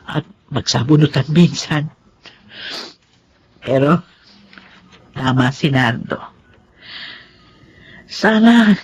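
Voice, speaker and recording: A woman's voice: low-pitched, dull audio, slight background noise. An actress playing a remorseful older woman telling her life story in a Filipino radio drama, emotional and pleading. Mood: rueful.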